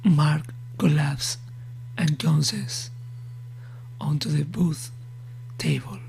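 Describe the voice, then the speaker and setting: A woman narrating a scripted horror story in a hushed, breathy, measured way. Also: low-pitched, fairly even intonation.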